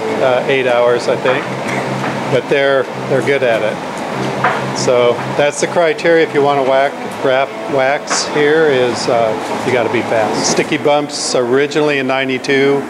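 A man in his sixties, a company owner, giving a steady, matter-of-fact tour of his factory with frequent pauses, filmed on a nearby camera. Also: mid-range voice. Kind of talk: explanatory walking tour. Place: large indoor factory and warehouse. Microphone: handheld camera close by. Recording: factory noise under a camera mic. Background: loud machinery and air-conditioning hum.

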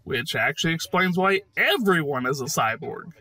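An enthusiastic man delivers scripted game commentary in a bright, fairly high voice. He is jokey and emphatic, with very lively intonation. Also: moderate pace.

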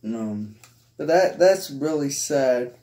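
A man's voice: mid-range voice, lively intonation, slow, dark tone. A man chatting casually to viewers in a rambling, hesitant way, often trailing off, recorded on a handheld device in a bedroom. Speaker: hobbyist vlogger showing a collection. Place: small carpeted bedroom, indoors. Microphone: handheld phone or camera held by the speaker.

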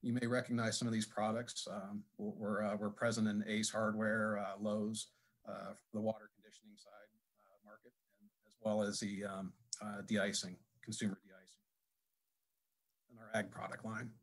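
A man giving a technical presentation at a conference, speaking haltingly with many fillers and restarts. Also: lowish voice, level tone, rough recording. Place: conference session room.

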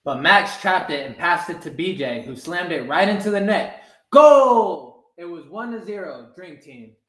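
Young man, slightly high voice, unhurried children's storybook read-aloud, echoey room. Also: animated, fairly bright tone. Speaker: soccer goalkeeper reading a children's book. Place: small room with blinds, indoors. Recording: roomy indoor webcam sound.

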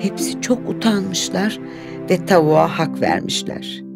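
A woman's voice: lowish voice, sing-song, unhurried, vocal fry. A woman giving scripted narration of a children's fairy tale. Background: music.

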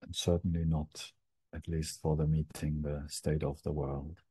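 A man leading a guided meditation as a teacher, speaking gently in short phrases with long pauses. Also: rumbling low, flat delivery, slightly rough, no echo.

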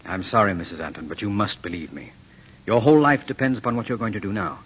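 An actor playing an earnest, protective detective, questioning urgently and reassuringly in a 1940s radio drama style. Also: male voice, lowish voice, quick pace, a bit bright, husky. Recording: muffled, noisy old radio recording.

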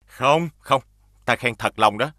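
Genial cartoon magistrate: hearty, formal, bright mid-pitched voice, recorded dry. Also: male voice, takes it slowly, dry recording. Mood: approving. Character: genial, dignified male government official. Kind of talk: animated fairy-tale dubbing.

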